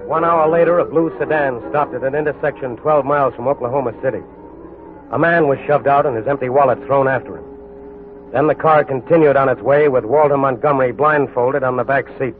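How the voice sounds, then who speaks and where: An actor narrating a 1940s radio crime story in a solemn, dramatic, deliberate scripted style. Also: male voice, mid-range voice, over music, close to an everyday voice. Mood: urgent narration. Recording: narrow-band 1940s radio recording.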